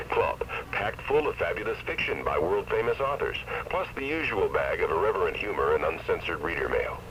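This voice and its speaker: A male commercial announcer with a very low voice reads a scripted seventies radio ad. His intonation moves widely. The recording is muffled AM with clear noise. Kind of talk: 1970s radio advertisement, scripted read. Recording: dull hissy 1970s AM radio cassette.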